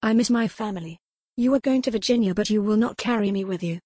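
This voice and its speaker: A woman's voice: medium pitch, fast, no echo, clean studio sound, natural voice. A woman voicing a spirited, homesick young noblewoman held captive in a romance audiobook, speaking earnestly. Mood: indignant. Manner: soft, earnest, reproachful. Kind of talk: romance audiobook character dialogue.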